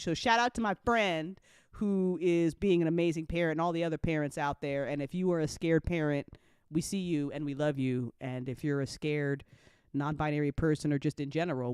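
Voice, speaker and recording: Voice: mid-range voice, clean tone, dry recording. A person with a man's voice in casual chat, speaking emphatically and repeating key phrases for stress. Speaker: audio drama creator on a panel.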